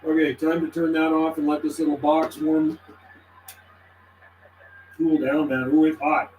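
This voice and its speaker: A man's voice: a little high, level tone, unhurried, darkish tone. A man chatting casually as a CB radio operator on a livestream, folksy and repetitive, using radio slang. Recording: roomy sound with faint background noise.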